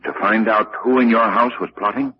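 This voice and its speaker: An actor playing a shrewd, commanding detective with clipped urgency in a 1940s radio mystery style. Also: male voice, on the low side, steady tone, brisk, close to an everyday voice. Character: composed, commanding amateur detective, shrewd man. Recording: dull old radio recording, faint noise.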